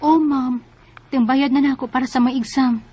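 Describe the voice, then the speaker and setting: A young student housemaid in a Filipino radio drama. Her voice is high, with a Bisaya accent, and she speaks in an earnest, respectful way. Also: steady tone, normal speed, breathy edge, muffled recording. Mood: earnest, polite. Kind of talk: Filipino radio drama acting with some first-person narration.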